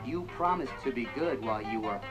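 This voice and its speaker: A man voicing the bad side of a cat in a storybook record, loud and brash, with synth music behind. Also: medium pitch, animated, a little fast, lightly acted. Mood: tempting. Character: devilish bad side of a cat, pushy tempter.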